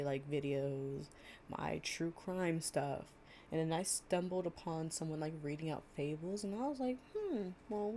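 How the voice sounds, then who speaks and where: A woman's voice: lowish voice, warm tone, slight background noise, lightly acted. A young woman playing an affectionate, chatty girlfriend in an improvised, intimate storytime roleplay, with a casual, slangy and playful way of talking. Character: affectionate, chatty girlfriend reading fables to her partner.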